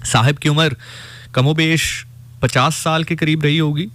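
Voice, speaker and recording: A man's voice: a little high, fairly even intonation, measured pace, fairly bright tone. A man with a Pakistani accent, a radio host telling a story conversationally and reflectively, dropping in English phrases.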